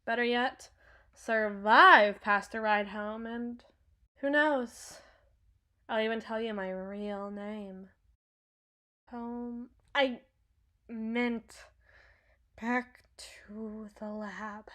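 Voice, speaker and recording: Woman acting as cynical henchwoman, menacing with a soft spot, highish voice, very slow pace, sardonic, ASMR roleplay. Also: fairly even intonation, heavily acted. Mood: teasing, gruff. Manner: slow, brooding, sardonic, dropping into breathy whispers. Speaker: audio roleplay performer.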